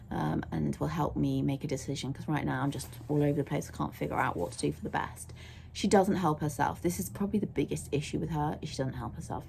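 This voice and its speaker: A young woman with a croaky voice, chatting casually in a rambling way as she vlogs about her horse. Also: deep voice, mellow, slight background noise. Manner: chatty and rambling, laughs often. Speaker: vlogger, horse owner. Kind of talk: casual vlog chat. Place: outdoors at a stable yard, then in a car.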